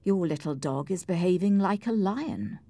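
A woman voicing a defiant, brooding, scornful man with drawn-out, mocking taunts in an audiobook story. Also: on the low side, lively intonation, vocal fry, warm tone, no echo. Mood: contemptuous. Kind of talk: audiobook character acting.

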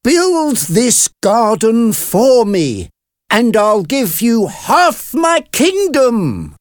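An excitable storybook king, cries out in a high, raised voice. The words are stretched out very slowly, with lively swoops. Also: no room sound, clean studio sound, full character voice. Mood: eager. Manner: exclaiming, drawn-out. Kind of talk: storybook character reading.

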